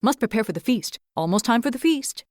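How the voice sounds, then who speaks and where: A frantic, busy male squirrel from a storybook, rattling off clipped questions in a high, excited voice, as a woman puts him on. He sounds hurried and preoccupied, recorded dry. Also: dry recording, natural voice.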